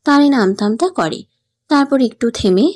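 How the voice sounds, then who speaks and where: A woman's voice: sits high, expressive, moderate pace, darkish tone, no room sound. A woman narrating a scripted dramatic story as its storyteller.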